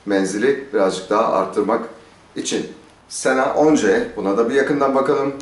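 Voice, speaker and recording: A middle-aged man with a medium-pitched voice presents a gear review in a conversational, explanatory style. He puts questions to the listener and answers them himself. The sound is clearly echoey, picked up a little way from the microphone in a stone-walled courtyard. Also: normal speed. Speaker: motorcycle gear reviewer, host. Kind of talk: casual explanatory product review to camera. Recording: roomy echo, distant microphone.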